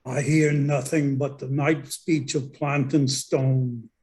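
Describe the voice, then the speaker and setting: A man plays a grave fantasy wanderer in a drama reading. He speaks very slowly at a middle pitch, solemn and poetic, stretching his words. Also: little pitch movement, a little mellow, close to an everyday voice. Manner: solemn, drawn-out delivery. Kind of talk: script table read.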